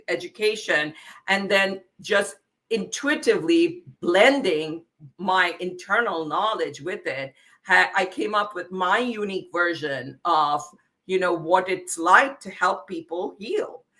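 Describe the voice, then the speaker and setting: Bright-voiced Indian woman. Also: fairly low pitch, expressive, takes it slowly. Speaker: psychotherapist guest. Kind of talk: interview conversation.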